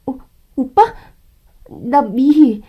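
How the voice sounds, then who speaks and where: Young manipulative sister-in-law, whiny and pleading, very high voice, played by a woman. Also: very animated, drawn-out words, husky, lo-fi sound, exaggerated voice.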